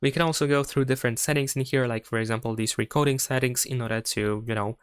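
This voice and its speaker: A man's voice: medium pitch, fairly even intonation, quick pace, clean tone. A man narrating a step-by-step tech tutorial in a methodical, explanatory manner.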